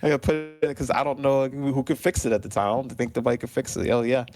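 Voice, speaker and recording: A man's voice: medium pitch, very fast, darkish tone, soft background music. A man in his thirties chatting casually as an interview guest, telling stories and acting out bits of dialogue. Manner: acts out remembered dialogue. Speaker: interview guest, video game composer. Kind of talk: casual chat, animated storytelling.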